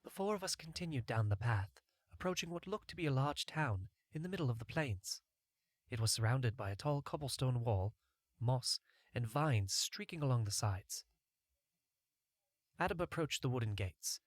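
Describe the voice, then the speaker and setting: A male audiobook narrator reads scripted first-person fiction. His voice sits at a middle pitch, and the recording is dry and close. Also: animated, normal speed, no echo.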